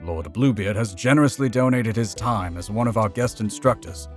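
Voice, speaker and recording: A man's voice: lowish voice, moderate pace, soft background music, natural voice. A man playing a formal fencing master making commanding announcements in a comic dub. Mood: stately. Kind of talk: comic dub character acting.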